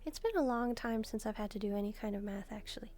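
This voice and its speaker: A woman voicing a gentle, devoted demon maid in a soft-spoken roleplay, speaking to the listener in a musing, softly teasing way. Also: medium pitch, fast, close to an everyday voice. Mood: curious. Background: background music.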